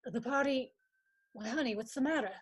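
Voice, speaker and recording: A woman acting an anxious young gentleman suitor in a radio mystery, with a hesitant, halting delivery. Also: high-pitched, husky. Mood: anxious searching.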